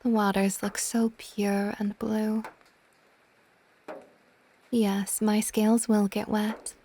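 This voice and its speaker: A woman voicing an ancient, possessive but tender water dragon goddess in a soft, intimate ASMR romance roleplay, often slipping toward a whisper. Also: medium pitch, little pitch movement, slow pace, slight background noise. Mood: playful, fond.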